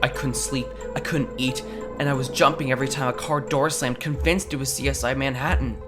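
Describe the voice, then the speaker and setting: A man's voice: mid-range voice, fairly even intonation, fairly quick, natural voice. A man playing an earnest, insecure teenage amateur superhero in a comic dub, speaking as narrator and in dialogue. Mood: confiding, uneasy. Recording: background music under the voice.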